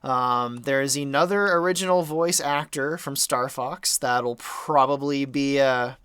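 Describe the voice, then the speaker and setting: Bright, clear-voiced man with a sore throat. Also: mid-range voice, unhurried, dry recording, clean studio sound. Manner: chatty, rambling, easygoing. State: sore throat, slightly dry voice. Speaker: game modder and channel host. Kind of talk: casual development update talk.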